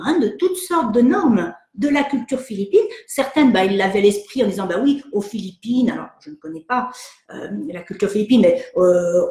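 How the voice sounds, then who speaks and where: A woman psychologist from France hosting an online session, answering viewer questions in a hesitant, gentle way with many fillers and restarts. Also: mid-range voice, roomy sound. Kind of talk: live webinar, reading and answering viewer questions.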